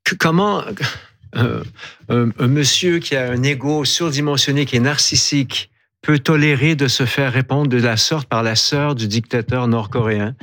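A man's voice: mid-range voice, normal speed, a bit bright. A man with a Canadian French accent giving opinions as a commentator in a live discussion. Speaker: panel commentator.

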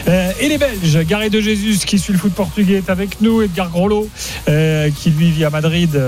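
A man's voice: high-pitched, moderate pace, darkish tone, soft background music. A man hosting a live football talk show on the radio, presenting and steering the discussion. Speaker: radio host.

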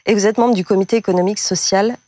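A woman's voice: mid-range voice, fairly even intonation, fast, dark tone, no echo. A woman hosting a radio interview and presenting a guest. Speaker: host.

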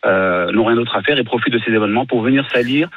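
A man's voice: medium pitch, quick pace, no echo, lo-fi sound. A man from Morocco calling in to a radio talk show, earnest and insistent, often repeating himself. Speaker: caller.

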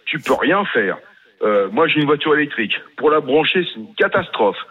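A man's voice: fairly high pitch, very animated, normal speed, breathy edge, phone-quality sound. A man calling in to a radio talk show, grumbling bluntly and repeating himself. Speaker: caller. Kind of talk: phone-in chat. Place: home, calling in by phone.

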